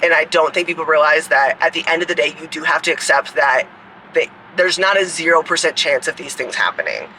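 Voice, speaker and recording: A woman in her mid-twenties with a deep, raspy voice, rambling casually to a phone camera outdoors, full of "like" fillers and swearing. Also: brisk, bright, forceful tone, a little hiss or hum, poor audio. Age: 20s. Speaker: fitness coach and creator. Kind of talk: casual vlog talk to camera.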